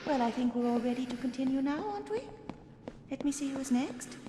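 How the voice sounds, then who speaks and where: Casting: prim middle-aged inquisitor, mock-sweet menace, high voice, echoey noisy game courtroom. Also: female voice, lively intonation, fairly quick. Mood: falsely sweet. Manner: condescending, mock-sweet, interrogating. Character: prim, cruel, sugary middle-aged female government inquisitor. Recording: echoing hall, loud background noise.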